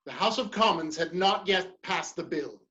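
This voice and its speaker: A man playing a proud, cornered English gentleman politician in a dramatic reading of a period play, formal and stiff. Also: high voice, animated, normal speed. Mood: indignant. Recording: dull, lo-fi microphone sound.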